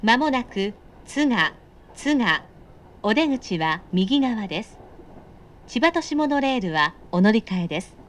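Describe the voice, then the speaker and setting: A woman's high, lively voice delivers scripted train announcements. She is polite and formal, and enunciates every phrase carefully. Faint train noise runs behind her. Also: unhurried.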